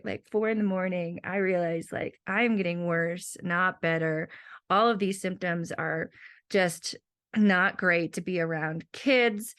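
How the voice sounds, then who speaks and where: A young woman with a sore throat, sick and worn out, talks through her week in a loose, rambling monologue. Her voice sits at an ordinary middle pitch, and she keeps slipping into quoted asides to herself. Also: fairly bright tone. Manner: rambling, self-talking asides. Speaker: teacher. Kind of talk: casual personal monologue.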